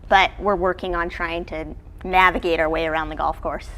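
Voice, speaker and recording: Female coach as interview guest, lowish register, casual delivery, noticeable background noise. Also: flat delivery, moderate pace, fairly bright tone. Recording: clearly noisy background ambience.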